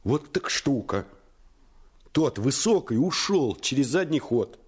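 An actor playing an earnest first-person narrator of a boyhood adventure in a radio play, expressive and suspenseful. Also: male voice, medium pitch, takes it slowly, husky, full character voice. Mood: hushed suspense. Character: grown male narrator recalling his boyhood adventure, earnest.

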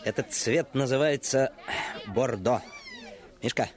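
A man's voice: mid-range voice, slow, lightly acted. An actor playing a theatrical, self-assured boy in a Russian radio play. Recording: bustling market crowd, music behind.

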